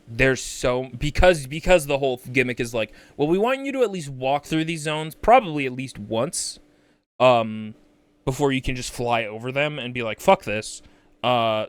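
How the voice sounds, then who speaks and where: A man's voice: a little high, a bit bright, no echo. A man hosting a game livestream, chatting casually and exclaiming often, with sweary asides. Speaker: livestream host.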